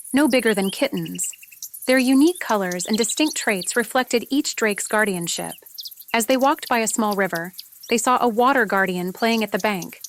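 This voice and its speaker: A woman's voice: mid-range voice, fairly bright tone. A woman narrating a fantasy story from a script, as an audiobook reader. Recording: noticeable background noise with clicks, insect-like sounds.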